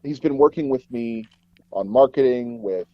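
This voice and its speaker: A dull, lo-fi and very dry recording of a man in a casual interview. He tells stories in an animated way, slipping into little acted-out exchanges. His voice sits at a middling pitch for a man. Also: moderate pace, dry recording. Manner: animated, acts out dialogue in anecdotes. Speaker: guest, voice actor and audio drama producer.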